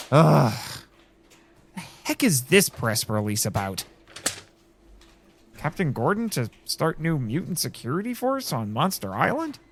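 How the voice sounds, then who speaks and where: A man with a theatrical, excitable delivery, acting and narrating a scripted first-person drama. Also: fairly high pitch. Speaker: host and narrator of a fiction podcast.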